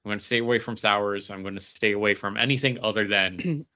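Bright, forceful man in casual chat, lo-fi. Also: lowish voice, quick pace. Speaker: podcast co-host. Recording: dull lo-fi audio.

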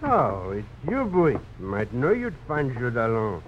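An actor playing a reckless, bitter drunken gambler, mocking and loose-tongued, in an old radio drama. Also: male voice, medium pitch, wildly swooping pitch. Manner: slow drunken delivery. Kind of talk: 1950s radio drama acting. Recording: dull, noisy old radio broadcast recording.

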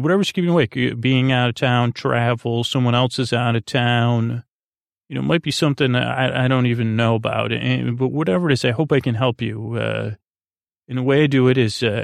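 Creaky, monotone man rambling soothingly. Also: mid-range voice, no room sound, clean studio sound. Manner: meandering and halting, with drawn-out pauses and false starts. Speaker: podcast host. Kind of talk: rambling, soothing bedtime monologue.

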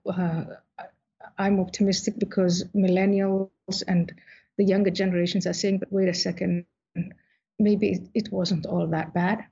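A woman from Somalia speaks as an interview guest, measured and thoughtful, often pausing on small fillers. Her voice is husky, and the audio sounds dull and a little lo-fi. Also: mid-range voice, warm tone. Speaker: guest author. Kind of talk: interview answers.

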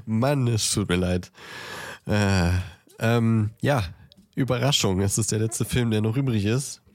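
Low-voiced man, casual podcast chat. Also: a little slow, darkish tone. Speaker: podcast co-host. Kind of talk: casual chat about films.

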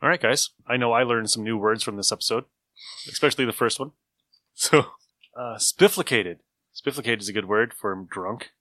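Male podcast host, lowish bright voice, informal conversation, dry close sound. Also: normal speed, no room sound. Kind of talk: casual chat.

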